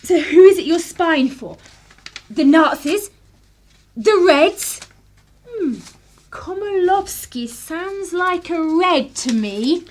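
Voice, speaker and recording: A woman plays a clever young upper-class English lady in a radio drama. Her voice is high and very lively, with an arch, teasing, commanding poise. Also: slow pace, long pauses, exaggerated voice. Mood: teasing, challenging. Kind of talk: radio play acting.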